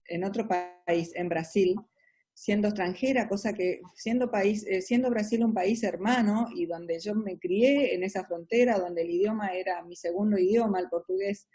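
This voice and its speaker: Husky Argentine businesswoman explaining. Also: medium pitch, normal speed, dark tone. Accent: Argentine Spanish. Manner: explanatory, hesitant with many fillers. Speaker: businesswoman guest. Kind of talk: interview answers.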